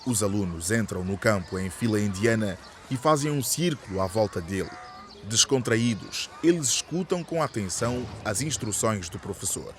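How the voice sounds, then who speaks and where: A man delivers scripted narration for a radio drama. His voice is on the low side, with faint noise in the background. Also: expressive, moderate pace.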